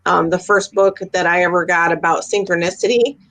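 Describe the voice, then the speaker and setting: A woman's voice: fairly low pitch, fairly even intonation, a little fast, fairly bright tone, low-quality recording. Chatty middle-aged woman telling anecdotes. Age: 50s. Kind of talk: casual chat.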